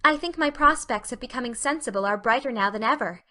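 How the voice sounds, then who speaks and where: A woman's voice: fairly high pitch, fast, pushed and bright. A woman voicing a spirited, earnest teenage girl with a theatrical manner, in an audiobook. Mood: optimistic. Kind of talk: audiobook character acting.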